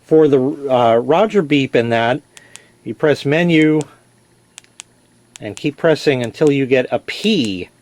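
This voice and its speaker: A man's voice: mid-range voice, animated, takes it slowly, pauses often, a little mellow. A man giving a casual, plain-spoken product demonstration, explaining as he goes and correcting himself. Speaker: product reviewer. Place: indoors in a basement.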